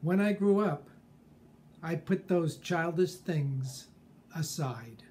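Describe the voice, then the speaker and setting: Slow-talking older man with a mellow voice. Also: on the high side, lively intonation, a little hiss or hum. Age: 60s or older. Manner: deliberate, measured phrasing with pauses. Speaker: personal growth speaker. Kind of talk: reflective scripted monologue. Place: small furnished room at home, indoors.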